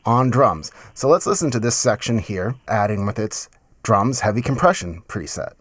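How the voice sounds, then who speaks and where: A man in his thirties giving a casual, enthusiastic music software tutorial in a conversational way, close on a mic in a home studio. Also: fairly low pitch, lively intonation, normal speed, a little hiss or hum. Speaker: music production tutorial host. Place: small home studio, indoors.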